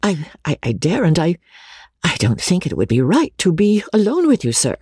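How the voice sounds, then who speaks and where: Demure young governess, acted by a woman reader, agitated, hesitant, high-lifted pitch, breathy, storybook drama. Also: wildly swooping pitch, a little slow, no echo, clean studio sound, exaggerated voice. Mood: agitated, tearful.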